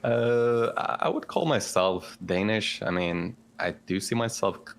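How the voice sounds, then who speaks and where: Deep gravelly Bosnian-accented man. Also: unhurried. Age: 30s. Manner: relaxed, rambling with many fillers and restarts. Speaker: guest content creator. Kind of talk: casual podcast interview.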